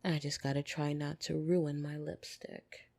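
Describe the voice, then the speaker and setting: Woman playing a slow, husky, lonely vampire woman. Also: sits low, flat delivery, heavily acted. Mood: warm, intimate. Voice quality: soft, breathy. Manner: slow, teasing, intimate, with hesitant pauses. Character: lonely, flirtatious female vampire, tender yet easily irritated. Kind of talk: ASMR roleplay. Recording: soft piano music behind.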